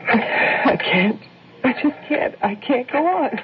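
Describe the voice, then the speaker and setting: Fragile, anxious radio-drama wife. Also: female voice, high voice, sing-song, full character voice. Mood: despairing, horrified. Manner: fretful, halting, pleading. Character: anxious, fragile wife and mother, barely holding together. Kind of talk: 1970s radio drama acting. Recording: noisy, narrow-band old radio recording.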